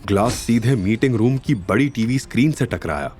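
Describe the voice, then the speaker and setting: A man's voice: mid-range voice, lively intonation, moderate pace, darkish tone, faint music behind. A man narrating a scripted story in a dramatic, suspenseful manner.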